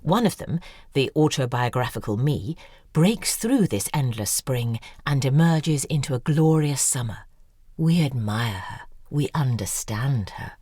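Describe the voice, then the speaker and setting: A self-obsessed woman novelist in an audio drama, with a low, highly animated voice. She is worldly and persuasive, speaking in theatrical, articulate flourishes. The sound is dry and close. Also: husky, no room sound, exaggerated voice.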